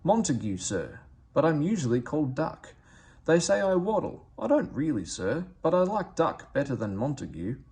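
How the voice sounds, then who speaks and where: A man's voice: fairly high pitch, very animated, normal speed, darkish tone, breathy edge, voice pushed up, full character voice. A man voicing a polite, self-assured tank engine in a storybook, courteous but firm. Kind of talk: storybook character voice.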